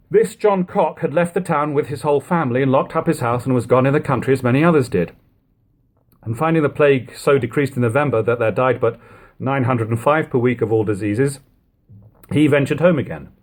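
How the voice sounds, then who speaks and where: A man narrates period prose like an audiobook. His pitch swings widely as he moves through long sentences. The phrasing is measured and expressive, and his voice sits in a middle register. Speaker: amateur audiobook reader. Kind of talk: literary narration of an old text. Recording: lo-fi home recording.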